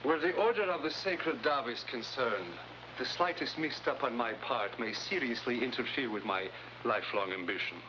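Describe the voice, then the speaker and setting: An actor playing a sly, courtly, self-serving informant, mock-polite and theatrical, in a vintage TV adventure. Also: male voice, mid-range voice, moderate pace, lightly acted. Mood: oily, genial. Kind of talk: 1950s TV adventure acting. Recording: muffled old TV soundtrack with noise.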